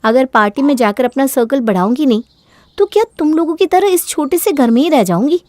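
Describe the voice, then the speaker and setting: Narrator putting on a brash, high-voiced young daughter. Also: female voice, lively intonation, brisk, a little mellow, no room sound, studio quality. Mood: defiant. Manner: defiant, dismissive. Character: brash, selfish young woman talking back to her mother.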